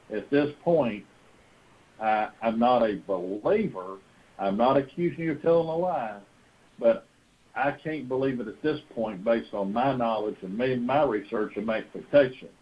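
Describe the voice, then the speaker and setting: Folksy man on a lo-fi phone call. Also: mid-range voice. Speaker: host of a conference call, pastor. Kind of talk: earnest conversational monologue and storytelling. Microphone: telephone. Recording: dull, lo-fi conference phone call.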